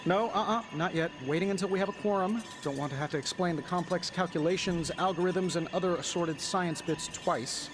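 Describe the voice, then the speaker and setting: A cocky showboat of an inventor played by a man in a video game, with a fairly high voice. He is glib and wordy, bragging with easy wisecracks over clearly heard music. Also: a little fast, hardly any pauses, close to an everyday voice. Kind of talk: video game cutscene acting.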